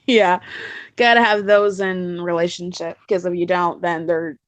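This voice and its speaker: A woman's voice: lowish voice, level tone, a little slow, dry recording. A woman co-hosting a casual chat, reading questions aloud with a giggly manner.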